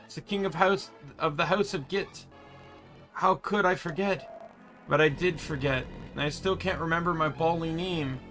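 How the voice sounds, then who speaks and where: Man putting on a blustering, high-ish king. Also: moderate pace, a bit bright, faint music behind, natural voice. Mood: indignant, blustering. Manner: pompous, blustering. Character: blustering, forgetful British gentleman king in a video game. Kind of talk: game dialogue read aloud.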